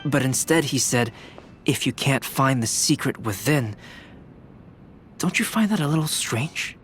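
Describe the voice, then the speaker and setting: A man's voice: mid-range voice, lively intonation, faint music behind, close to an everyday voice. Composed, analytical investigator played by a man. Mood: probing. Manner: deliberate, analytical. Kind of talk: scripted video game voice acting.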